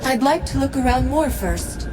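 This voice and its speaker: An actress playing a young legion heroine in a video game, speaking in a plain, direct manner. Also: female voice, high-pitched, expressive, moderate pace, background noise. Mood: guarded. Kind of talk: video game character acting.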